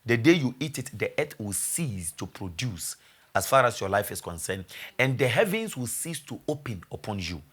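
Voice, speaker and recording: Husky-voiced male pastor preaching. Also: sing-song, normal speed. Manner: preaching with repetition and rhetorical questions; builds to loud, high exhortation. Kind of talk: sermon.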